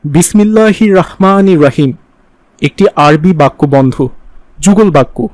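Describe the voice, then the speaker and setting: A man's voice: fairly high pitch, measured pace, darkish tone, no room sound, natural voice. A man playing a stern father who explains religious manners in a cartoon. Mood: instructive. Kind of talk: didactic cartoon dialogue.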